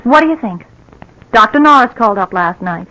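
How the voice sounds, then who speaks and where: An actress in an old-time radio drama playing a loving, fragile young wife, tender and solicitous. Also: female voice, fairly high pitch, lively intonation, fairly quick, bright, forceful tone, poor audio. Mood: cheerful.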